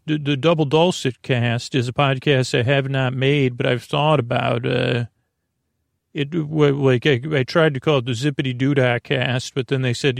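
Creaky-voiced man, rambling bedtime podcast host. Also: medium pitch, dry recording, clean studio sound. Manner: meandering, hesitant, halting with self-corrections. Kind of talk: rambling, soothing bedtime monologue to the listener. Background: music.

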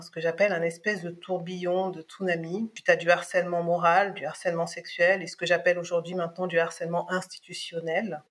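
A woman's voice: lowish voice, a bit bright. Hesitant woman from Cameroon telling her story. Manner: thoughtful, hesitant, with many restarts. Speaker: guest. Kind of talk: personal testimony in a podcast interview.

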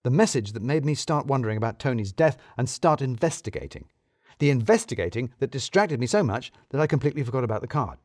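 A man's voice: mid-range voice, animated, fast talker, dry recording, studio quality, natural voice. A man voicing a fretful, obsessive office worker turned amateur detective, explaining things emphatically in an audio story.

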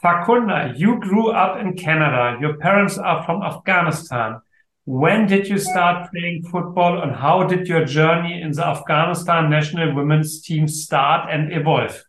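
A male professor hosts an interview podcast, asking formal, measured questions. His voice sits a bit high for a man. The sound carries a noticeable room echo. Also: fairly bright tone. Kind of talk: interview hosting. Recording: echoey room.

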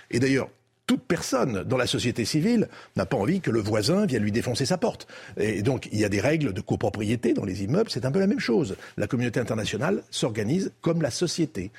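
An older man with a French accent from France, husky-voiced, recounting diplomatic history as a debate guest in a measured, didactic way with emphatic phrasing. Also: mid-range voice, sing-song, darkish tone.

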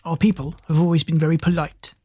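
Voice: medium pitch, level tone, fast, warm tone, husky, no room sound, close to an everyday voice. A reader voicing a shy, polite two-headed creature. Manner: timid, courteous.

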